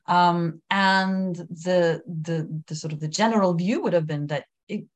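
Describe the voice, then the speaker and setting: A woman's voice: fairly low pitch, steady tone, unhurried. A woman whose first language is Lithuanian, thoughtfully explaining scripture in a casual discussion. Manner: thoughtful, explanatory, light laughs.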